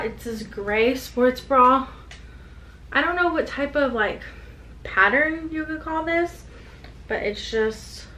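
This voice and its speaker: A chatty young woman talks upbeat to a desk camera in a small home room. Her voice sits a little high, and the room gives it a noticeable echo. A faint hiss runs underneath. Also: sharp tone. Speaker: reseller vlogger. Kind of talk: casual haul vlog. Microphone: camera on desk at close range.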